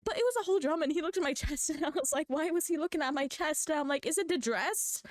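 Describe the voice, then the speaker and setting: Young woman, Polish first language, high-pitched, dry, chatty, laughs a lot, rambling. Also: expressive, fast, no room sound. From Poland. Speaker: vlogger. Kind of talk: casual rambling rant.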